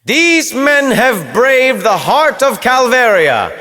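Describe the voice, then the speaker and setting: Fired-up leader giving a slow speech in an audiobook, high ringing voice. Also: male voice, very animated, pushed and bright, heavily acted. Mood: stirring, grave. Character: impassioned leader rallying his people against invaders. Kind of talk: audiobook oratory.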